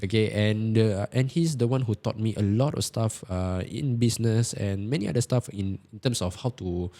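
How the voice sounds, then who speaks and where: A man's voice: on the low side, normal speed, dark tone. A man, co-hosting a podcast and talking in a casual, rambling way with frequent hesitations. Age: 20s. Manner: rambling, laughing, hesitant self-introduction. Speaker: podcast co-host. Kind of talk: casual chat.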